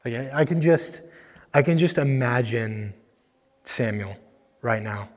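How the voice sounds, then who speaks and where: Man, preacher, casual filler-heavy sermon, hall with long echo, mid pitch. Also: lively intonation, measured pace. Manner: conversational, filler-heavy, voices characters when reading. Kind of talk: casual sermon with scripture reading. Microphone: microphone that cuts out.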